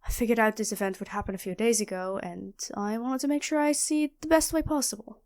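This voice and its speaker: A woman playing a nerdy, chatty young student in an audio roleplay, expressive and flustered, often apologizing and correcting herself. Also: a little high, quick pace, lightly acted. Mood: curious, awkward. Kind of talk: audio roleplay acting addressed to the listener.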